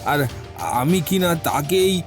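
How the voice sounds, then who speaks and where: A man's voice: a little high, animated, takes it slowly, soft background music. A man playing an anxious, deferential older household servant in an audio detective drama, pleading and stammering. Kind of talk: audio detective story acting.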